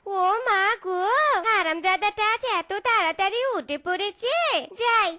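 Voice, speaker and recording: A performer voicing an excitable fox wife in a cartoon, scolding and exclaiming in horrified wails, with music behind. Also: very high voice, a little slow, heavily acted. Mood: dismayed. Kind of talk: cartoon voice acting.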